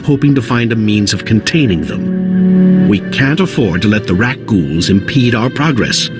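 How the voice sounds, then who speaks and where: A deep, expressive male actor's voice for an earnest video game scientist. He is formal and articulate, stressing words theatrically, with lively swings in pitch. Music plays clearly behind him. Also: moderate pace, close to an everyday voice. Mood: earnest, resolute. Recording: loud music under the dialogue.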